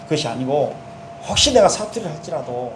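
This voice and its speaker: Male pastor, Jeolla accent, fairly high voice, preaching casually in a reverberant sanctuary. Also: big pitch swings, a little fast, slight background noise. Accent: Jeolla dialect. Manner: conversational preaching, acting out prayers in first person. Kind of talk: sermon.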